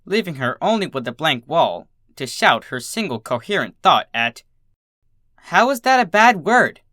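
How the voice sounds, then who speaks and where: A narrator reading fan fiction aloud in an expressive storytelling style. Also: mid-range voice, no echo, clean studio sound.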